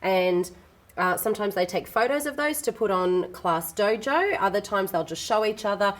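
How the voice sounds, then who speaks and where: An Australian woman, an assistant principal, sharing teaching tips in a chatty, practical way, her voice croaky and tired. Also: medium pitch, animated, normal speed. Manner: chatty, practical, with frequent fillers. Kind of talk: teaching tips video, casual explanation. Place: classroom.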